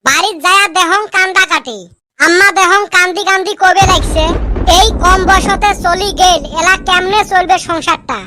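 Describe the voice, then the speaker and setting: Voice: very high-pitched, fairly even intonation, moderate pace, noisy background. A performer voicing a mischievous, bickering village boy in a comedy cartoon, speaking in the Rajbongshi dialect of northern Bengal. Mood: grumbling. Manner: colloquial, bantering, complaining.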